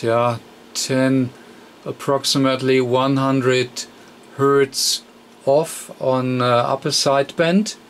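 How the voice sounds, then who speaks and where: A man who speaks as a walks through a technical repair step by step. His voice sits at an average pitch and moves very slowly, with frequent hesitations and an unscripted, halting flow. A faint layer of noise sits behind him. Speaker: radio repair technician demonstrating. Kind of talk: unscripted hands-on repair tutorial.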